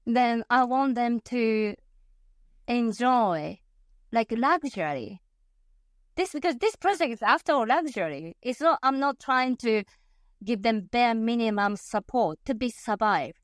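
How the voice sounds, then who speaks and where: A woman's voice: a little high, lively intonation, a little slow, dry recording, studio quality. A woman in her fifties from Japan with a Japanese accent, a passionate interview guest who often laughs and corrects herself mid-sentence. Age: 50s. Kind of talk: conversational interview.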